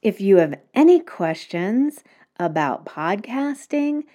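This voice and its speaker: A woman's voice: mid-range voice, sing-song, slow, warm tone. A woman hosting her own podcast, explaining things step by step in a casual solo talk. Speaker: podcast host. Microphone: home microphone.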